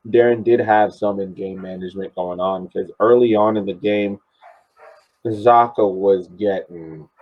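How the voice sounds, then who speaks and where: A man giving casual match analysis as a podcast panelist, with halting, repetitive phrasing. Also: lowish voice. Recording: muffled, dull audio.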